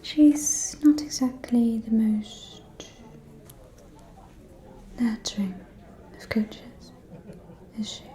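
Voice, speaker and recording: A woman playing a scheming, falsely caring aristocratic vampire in a whispered ASMR roleplay, with a soft, breathy, silky and insinuating delivery. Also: fairly high pitch. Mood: coy, insinuating. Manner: slow, silky, insinuating, condescending.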